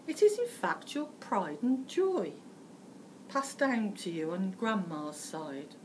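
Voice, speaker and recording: A woman's voice: medium pitch, sing-song. A British woman reading a rhyming storybook aloud like a teacher, theatrical and emphatic, with some background noise. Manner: theatrical, emphatic, deliberate phrasing. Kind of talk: dramatic rhyming storybook narration.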